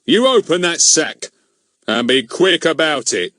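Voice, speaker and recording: A man's voice: medium pitch, lively intonation, normal speed, fairly bright tone, dry recording, clean studio sound, pitched above the performer's own voice, lightly acted. A man voicing a pompous, heavy middle-aged village policeman who barks bossy, threatening demands. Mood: bullying.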